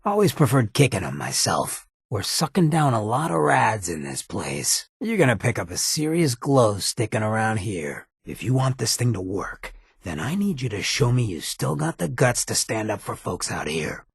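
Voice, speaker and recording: An actor voicing a sly, swaggering outlaw mayor in a video game, with a gravelly rasp and cocky, streetwise, slangy drawl. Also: male voice, on the low side, big pitch swings, moderate pace, no room sound, clean studio sound, heavily acted. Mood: wry, laid-back. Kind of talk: video game companion dialogue.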